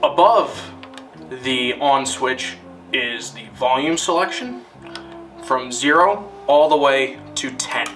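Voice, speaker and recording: A man narrating a scripted instructional film in a deliberate, formal, step-by-step manner. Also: mid-range voice, fairly bright tone, distant mic, soft background music.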